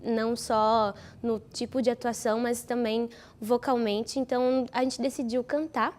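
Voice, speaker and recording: Higher-voiced woman. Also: flat delivery, unhurried, darkish tone. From Brazil. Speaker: stage actress. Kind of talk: interview.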